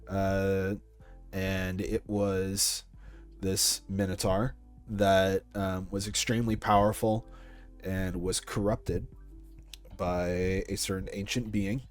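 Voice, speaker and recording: A man's voice: on the low side, darkish tone. A man in his twenties answering interview questions about his creative work in a casual way, thinking aloud as he goes. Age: 20s. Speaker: creator being interviewed about an audio project.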